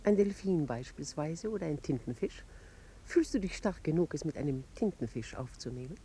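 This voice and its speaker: A woman's voice: sits low, expressive, moderate pace, dark tone, breathy edge, exaggerated voice. A woman playing a composed noblewoman with dry, needling irony in a 1950s German radio play. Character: cool, sardonic noblewoman, drily needling her husband. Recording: 1950s radio recording, faint noise.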